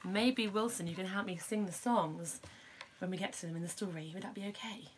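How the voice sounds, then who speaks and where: A young woman reading a picture book aloud to her toddler in a child's bedroom, animated and coaxing, with quiet asides. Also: medium pitch, a little fast, slight background noise. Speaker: parent reading a bedtime story.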